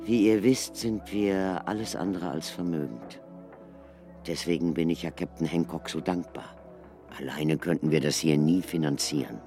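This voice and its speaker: An actor playing an earnest, cautious male researcher in a German radio play, explaining things in a measured, emphatic way. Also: extremely low pitch, dark tone, soft background music. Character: deep-voiced scholarly male ruin researcher, earnest and cautious.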